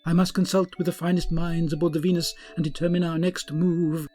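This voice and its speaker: A man's voice: fairly high pitch, level tone, brisk, mellow, faint music behind, lightly acted. A man voicing a pompous, grandiose male starship captain in a comic audio drama.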